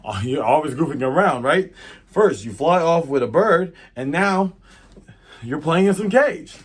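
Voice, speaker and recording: A man's voice: a little high, lively intonation, unhurried, slightly rough, pitched above the performer's own voice. A man voicing a cocky, laid-back swordsman in an anime retelling, bold and casually chiding. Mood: breezy chiding.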